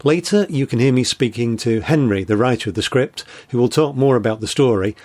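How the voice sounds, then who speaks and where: A man's voice: medium pitch, a little fast, darkish tone. A man hosting an interview programme, giving scripted introductions and putting questions to his guest. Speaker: host and interviewer.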